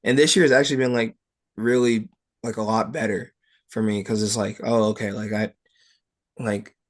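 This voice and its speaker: A young adult man, a wrestler being interviewed, talking casually and personally in a halting way, peppered with "like" and "you know". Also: lowish voice, steady tone.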